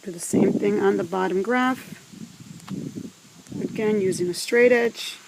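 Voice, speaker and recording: Slow-spoken woman teacher with a creaky voice. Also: medium pitch, background noise. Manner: deliberate, instructive, with pauses between phrases. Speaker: math teacher. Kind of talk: scripted step-by-step instructional narration.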